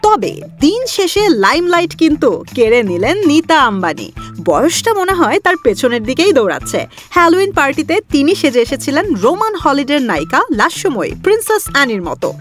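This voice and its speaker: A woman's voice: sits high, big pitch swings, a little fast. A woman presenting scripted entertainment gossip in a chatty, playful, teasing way. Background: music.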